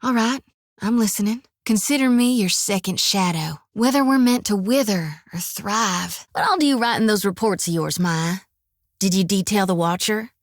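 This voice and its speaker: A woman's voice: medium pitch, moderate pace, dry recording, close to an everyday voice. An actress voicing a folksy, earnest young woman priest of death in a video game, with a drawl. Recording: dry studio recording.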